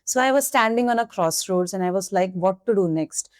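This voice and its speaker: A woman's voice: mid-range voice, animated, fairly quick, dry recording. A young Indian woman speaking frankly in a podcast interview, her English lightly mixed with Hindi. Her voice sits in an ordinary middle register and is recorded close and dry in a studio, with no room sound. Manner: candid, switches between English and Hindi. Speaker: nursing candidate, guest.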